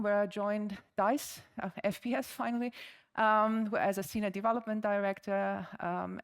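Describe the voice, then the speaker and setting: German-accented woman in her forties, smooth voice. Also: medium pitch. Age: 40s. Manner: frequent ums and uhs, friendly and chatty. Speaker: conference speaker. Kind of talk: conference presentation. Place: conference stage before a live audience.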